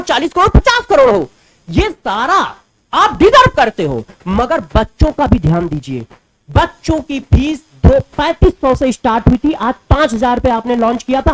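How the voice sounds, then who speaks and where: छात्रों से बात करती एक शिक्षिका जोश के साथ अपनी बात रखती है और ज़ोर देने के लिए आंकड़े दोहराती है।. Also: female voice, sits high, low-quality recording. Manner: emphatic, repeats figures for stress. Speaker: educator speaking to students. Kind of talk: impassioned commentary to camera.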